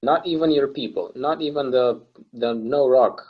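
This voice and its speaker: A man plays an ancient, guarded male recluse in a stage play reading. His voice is rough and husky, his manner short, irritable and grudging. The sound is a little dull and lo-fi. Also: mid-range voice, moderate pace, natural voice. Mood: anxious, insistent. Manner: curt, defensive, grudging. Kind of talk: stage play table read.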